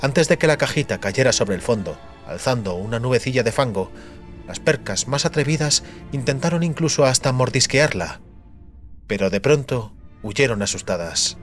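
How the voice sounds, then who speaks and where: A man's voice: mid-range voice, lively intonation, normal speed, soft background music. A man narrating fantasy fiction as a dramatic, scripted audiobook.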